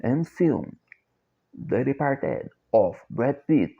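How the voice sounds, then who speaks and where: A middle-aged Brazilian man with a Portuguese accent, speaking English slowly and haltingly in a repetitive, chant-like monologue. He repeats short phrases over and over like a mantra. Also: mid-range voice, very animated, creaky, husky. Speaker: self-described copywriter and philosopher. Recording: dull lo-fi recording.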